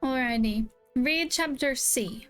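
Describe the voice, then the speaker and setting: High-voiced casual woman streamer. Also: slow, music in the background. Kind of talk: game playthrough reaction, reading dialogue aloud.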